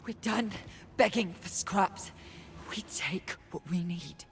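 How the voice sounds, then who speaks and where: An actress voicing a fierce, defiant young rebel, over game music. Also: female voice, lowish voice, slow.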